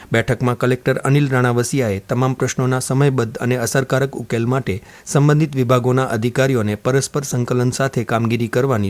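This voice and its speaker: A male newsreader delivers scripted bulletin copy in a medium-pitched voice. His delivery is formal, measured and neutral throughout. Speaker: radio newsreader. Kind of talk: scripted news narration.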